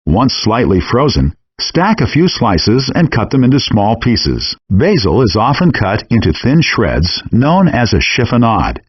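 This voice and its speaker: Man, lively narration, dull compressed sound. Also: medium pitch, unhurried, hardly any pauses, no room sound. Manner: clear step-by-step explaining. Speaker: instructional narrator for a cooking game. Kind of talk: scripted instructional narration. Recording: dull, compressed handheld game audio.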